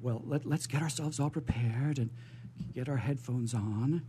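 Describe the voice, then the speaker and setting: A man's voice: mid-range voice, unhurried, mellow, a little hiss or hum. A man hosting a live radio show, rambling playfully off the cuff with theatrical phrasing.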